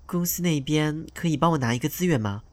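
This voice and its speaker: A man's voice: fairly high pitch, brisk, natural voice. A man playing a polite, ingratiating young newcomer actor in an audio drama, speaking in a deferential way. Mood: ingratiating, smug. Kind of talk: modern radio drama acting.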